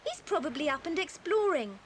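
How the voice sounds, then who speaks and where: Sensible British schoolgirl, acted by a woman, high pitch, expressive delivery, slightly bossy, story drama, light tape hiss. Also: fairly quick. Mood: brisk, teasing. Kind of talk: 1980s children's adventure audio drama acting. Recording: slightly hissy 1980s cassette recording.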